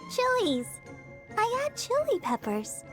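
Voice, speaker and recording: A woman's voice: very high voice, exaggerated voice. A woman voicing an easygoing, slightly awkward youthful girl in a video game.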